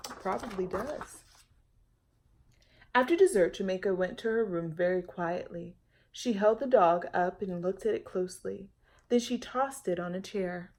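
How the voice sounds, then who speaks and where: A woman's voice: medium pitch, normal speed, a little mellow. Woman reading a storybook to young children. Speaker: storytime reader. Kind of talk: children's read-aloud with chatty asides to young listeners.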